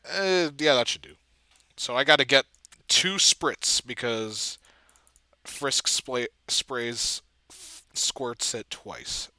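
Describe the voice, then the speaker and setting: Man muttering through his edit, bright voice. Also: medium pitch, expressive, no room sound. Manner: halting, self-deprecating, muttering to himself. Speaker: video editor and comic dubber. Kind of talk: casual thinking-aloud screen-recording commentary.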